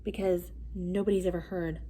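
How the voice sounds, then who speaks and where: A woman's voice: on the low side, little pitch movement, moderate pace, noisy background, natural voice. A woman reading the part of a male storybook wolf who chattily and indignantly pleads his innocence, with wry conversational storytelling. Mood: confiding.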